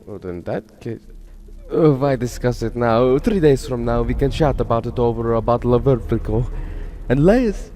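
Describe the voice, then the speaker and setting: A man's voice: mid-range voice, lively intonation, normal speed, dark tone, close to an everyday voice. A man playing a genteel, menacing aristocratic general in a radio drama, suave and theatrical as he savors his words. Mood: silky menace. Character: genteel, menacing male aristocrat general who hunts men.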